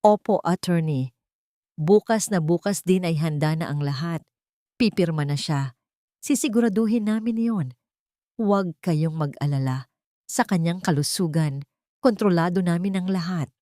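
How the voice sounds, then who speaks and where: Woman narrator playing a scheming guy in an audio drama, businesslike, reassuring, lowish voice, dry recording. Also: moderate pace, long pauses, mellow, dry recording, natural voice. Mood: assured, scheming. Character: scheming adult son, assured, deferential, controlling. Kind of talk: audio story character dialogue.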